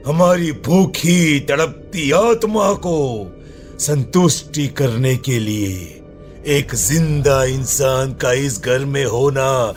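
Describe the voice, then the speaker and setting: Man playing a very slow, menacing vengeful ghost. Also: medium pitch, heavily acted. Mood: threatening. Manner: slow, drawn-out menacing delivery with long pauses. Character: vengeful male ghost, slow, menacing, wolf-faced, captor of the living. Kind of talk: Hindi horror audio story acting. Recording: loud music under the voice. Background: loud music.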